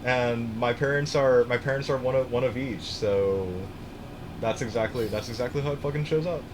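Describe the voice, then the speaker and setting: A young American man talks through a game on a live stream, rambling and swearing as he goes. He keeps restarting his sentences in a laid-back way. A steady hiss of noise sits behind his voice. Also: medium pitch, moderate pace. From United States. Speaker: streamer playing a building game. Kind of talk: casual gaming stream chat.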